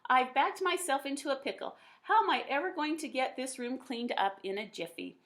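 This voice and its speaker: A high-voiced, cheerful storybook schoolteacher, played by a woman reading aloud. She is folksy and easily flustered, and exclaims with homespun emphasis. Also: expressive, fairly quick, a bit bright.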